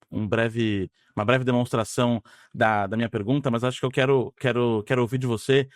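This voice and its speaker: A man's voice: medium pitch, no echo, studio quality. A man with a Brazilian accent hosting an interview podcast in a conversational style.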